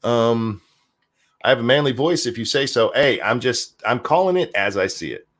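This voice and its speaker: A man hosting a podcast, chatting casually and reading news articles aloud with a jokey, animated delivery. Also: mid-range voice, moderate pace. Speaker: podcast host.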